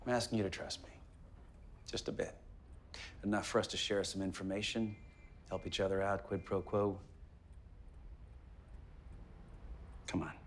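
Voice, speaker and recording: Sardonic, low-voiced male police detective actor. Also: level tone, a little fast, pauses often, slight background noise, natural voice. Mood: coaxing. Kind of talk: television period drama acting.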